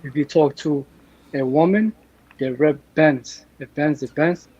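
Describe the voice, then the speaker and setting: A man's voice: fairly high pitch, a little slow, mellow, husky. A man in his thirties from Afghanistan, a guest telling earnest stories over a remote call. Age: 30s. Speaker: podcast guest. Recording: dull remote call audio with faint noise.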